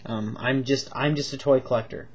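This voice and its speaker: A man's voice: medium pitch, steady tone, normal speed, slightly rough. Stuffed-up man gushing about toys. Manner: rambling, gushing, many ums and repeats. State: stuffed up with a cold, coughing. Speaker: toy reviewer. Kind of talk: casual toy review vlog.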